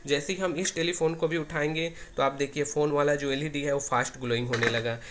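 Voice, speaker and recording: A man's voice: on the high side, steady tone, fairly quick, fairly bright tone. An Indian man giving an informal hands-on tutorial, explaining step by step and often repeating himself. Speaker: tech tutorial presenter.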